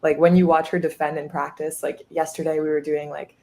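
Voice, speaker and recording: Upbeat young woman with a gravelly edge. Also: low-pitched, flat delivery, fairly quick, darkish tone. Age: 20s. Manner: upbeat, many fillers like "um" and "like". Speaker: guest, college goalkeeper. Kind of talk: casual podcast interview.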